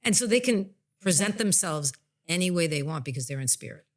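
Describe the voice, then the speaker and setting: A woman's voice: fairly low pitch, expressive, a little fast. A woman hosting a podcast as a psychic medium, talking candidly and emphatically in plain, casual words with some swearing. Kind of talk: candid conversational podcast talk.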